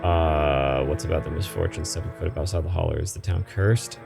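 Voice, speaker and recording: A man's voice: a bass voice, steady tone, fairly quick, creaky. A man reading a story game aloud and commenting on it as a gaming host, with music playing behind him. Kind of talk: let's play commentary and narration read-aloud.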